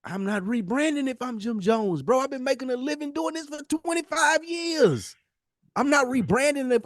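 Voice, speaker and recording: A higher-pitched American man argues his case in a casual, heated debate. He is emphatic and slangy, pressing each point like a lecture. The sound is dry and close. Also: no room sound. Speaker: podcast co-host.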